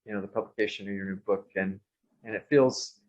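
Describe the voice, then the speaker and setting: Halting man on a dull video call. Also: fairly low pitch, steady tone, a little mellow. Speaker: event participant. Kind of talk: informal remarks of thanks.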